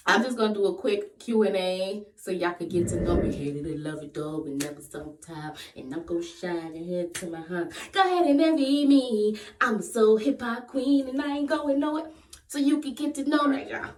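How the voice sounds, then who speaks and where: A woman of twenty-eight chatting casually in a question-and-answer vlog, playful and quick to laugh. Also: mid-range voice, darkish tone. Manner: playful, laughs often, breaks into sung bits. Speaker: vlogger. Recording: echoey room, voice far from the mic.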